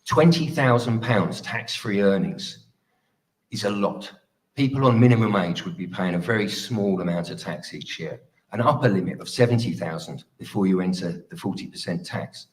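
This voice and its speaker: A man giving an earnest, pleading closing speech as a political candidate at a hustings, with some halting delivery. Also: lowish voice, poor audio.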